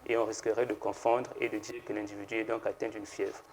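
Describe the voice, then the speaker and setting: A man, a pharmacist guest on a TV studio set, explaining slowly and hesitantly with many fillers and restarts. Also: on the low side, little pitch movement, a little hiss or hum, low-quality recording. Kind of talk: explanatory TV interview answers.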